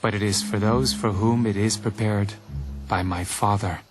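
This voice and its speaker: An actor playing a calm, authoritative male teacher in an audio Bible drama, speaking in a solemn, measured, earnest way. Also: on the low side, lightly acted. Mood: solemn, instructive. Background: background music.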